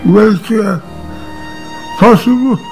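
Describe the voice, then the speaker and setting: An older man playing a frail grandfather nearly silenced by illness, speaking in halting single words in a radio drama. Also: high-pitched, gravelly, a little mellow, faint music behind, exaggerated voice. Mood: labored curiosity. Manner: halting single-word utterances.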